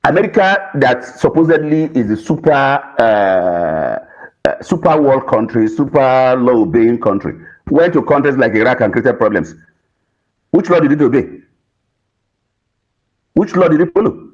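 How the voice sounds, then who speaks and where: A man's voice: mid-range voice, sing-song, normal speed, a little mellow, dull audio. A Nigerian man arguing as a guest on a political panel, emphatic and repetitive, with rhetorical questions.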